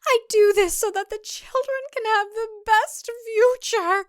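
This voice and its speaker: Woman voicing a high, fervent older cult matriarch. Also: no echo, clean studio sound. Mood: fervent conviction. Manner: fervent, drawn-out, plaintive delivery. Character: older woman cult zealot, pleading, self-righteous traditional mother.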